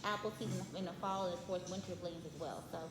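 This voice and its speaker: Fast-talking woman, light voice. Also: fairly low pitch, noisy background. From Memphis, Tennessee. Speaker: guest, herbal tea business owner. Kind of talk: casual podcast interview. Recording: echoey room with music behind.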